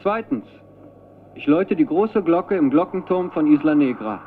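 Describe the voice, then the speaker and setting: A man's voice: fairly high pitch, lively intonation, normal speed, slight background noise. An actor playing an earnest, naive young man speaking a ceremonious recorded message in a radio drama, through a narrow tape filter. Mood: proud announcing. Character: earnest young Chilean man, devoted admirer of a poet.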